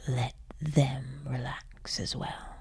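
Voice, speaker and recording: Very breathy, slow, low-voiced woman. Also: steady tone. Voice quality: very breathy, half-whispered, creaky. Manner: soothing, gentle, lingering pauses with short encouragements. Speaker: meditation guide. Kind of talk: guided sleep meditation, soft instruction.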